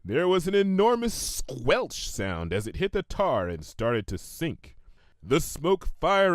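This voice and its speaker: A man's voice: on the high side, no room sound. A man narrating a comedic fan fiction story in a theatrical, emphatic manner, drawing phrases out for suspense.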